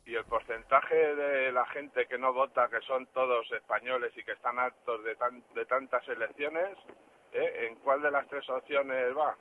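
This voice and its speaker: A thin phone line with faint noise carries a male caller. He has a medium-pitched voice and is making a complaint to a radio show. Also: steady tone, a little slow.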